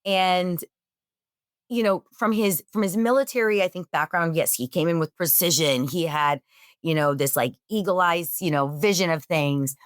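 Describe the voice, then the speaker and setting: A woman answering interview questions in an energetic, emphatic way; she says she has a loud voice. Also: fairly low pitch, moderate pace, dry recording, studio quality. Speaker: real estate executive guest.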